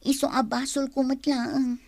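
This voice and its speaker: A woman's voice: high-pitched, level tone, normal speed, creaky, no echo. A woman acting a long-suffering, emotional young mother and wife in a radio drama. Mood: regretful, sad.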